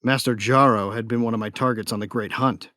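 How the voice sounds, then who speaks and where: An actor playing a sardonic, hot-tempered young bounty hunter who narrates an audio drama in a wry, informal way. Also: male voice, medium pitch, fairly even intonation, a little fast, pushed and bright, natural voice. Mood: angry, wry. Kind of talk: first-person scripted narration of an audio drama. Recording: music behind the voice.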